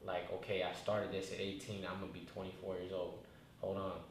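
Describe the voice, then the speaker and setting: A man's voice: low voice, little pitch movement, brisk, creaky. Laid-back young man in an echoey room. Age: 20s. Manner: laid-back, rambling, many restarts and fillers. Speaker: rapper, interview guest. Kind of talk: casual reflective interview talk. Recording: very echoey room, faint noise.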